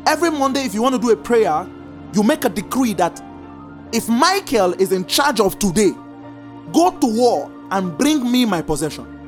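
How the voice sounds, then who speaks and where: A preacher teaching a congregation, stressing points in slow drawn-out repeated commands. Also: medium pitch, faint music behind. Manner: lively sing-song emphasis, slow drawn-out repeated commands. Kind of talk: sermon teaching.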